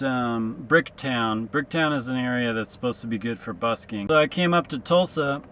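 A man's voice: mid-range voice, a bit bright, clear, resonant tone, rough recording. A man in his thirties, a traveling street musician, thinking aloud in a loose, reflective vlog monologue from inside his car to a dashboard camera. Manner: reflective, musing, loose with fillers.

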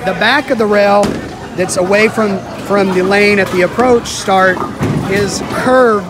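A man vlog host casually explaining things at a bowling alley, relaxed and halting with fillers, filmed on a handheld phone. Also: high-pitched. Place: busy indoor bowling alley. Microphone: handheld phone camera. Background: loud bowling alley crowd and noise.

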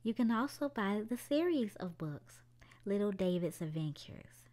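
A woman's voice: medium pitch, expressive, a little slow, dark tone. A woman hosting a children's storytime, reading a picture book aloud expressively to young children, with music behind her.

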